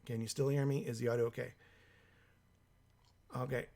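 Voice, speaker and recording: A hoarse man with a cold hosts a live stream, reading out viewer comments in a halting way with many fillers. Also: medium pitch, level tone, a little mellow. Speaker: livestream host.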